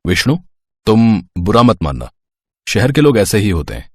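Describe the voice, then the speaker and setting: A man's voice: on the low side, sing-song, moderate pace, breathy edge, no room sound, heavily acted. A man playing an easygoing, kindly young city student in a story, reassuring and casual. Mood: friendly.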